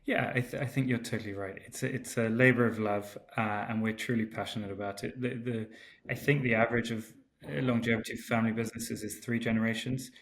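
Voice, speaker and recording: A winemaker man from Portugal, a guest on a remote call, talking in a relaxed, hesitant way with many ums and restarts. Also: medium pitch, quick pace, a little hiss or hum. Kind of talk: casual interview chat. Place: home in Porto, remote call.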